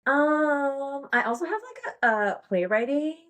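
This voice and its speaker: A woman's voice: high-pitched, lively intonation, unhurried, a bit bright. A woman in her thirties, a podcast guest chatting casually, rambling with many fillers and frequent giggles. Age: 30s. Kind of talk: casual interview chat.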